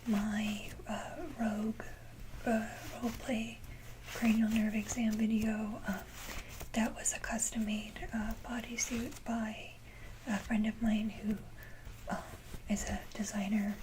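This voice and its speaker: Whispering woman, slow and halting. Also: mid-range voice. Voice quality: whispered, breathy. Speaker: ASMR video creator. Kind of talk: softly whispered ASMR, casual talk to viewers. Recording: clearly noisy, hissy background.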